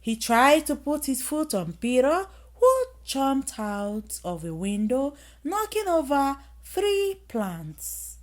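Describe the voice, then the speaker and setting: A woman reading a children's bedtime story aloud in an expressive manner, with dramatic emphasis. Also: high-pitched, slow pace, hardly any pauses. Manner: expressive, dramatic emphasis with acted sound effects.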